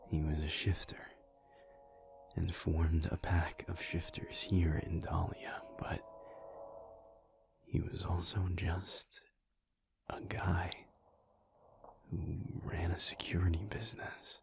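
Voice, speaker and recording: A man playing a thoughtful, weary alpha werewolf in an intimate audio roleplay, speaking softly and breathily in a halting, confiding way. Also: level tone, exaggerated voice. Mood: pensive, weary. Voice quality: breathy, half-whispered, low and gravelly. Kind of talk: intimate audio roleplay, soft-spoken ASMR monologue.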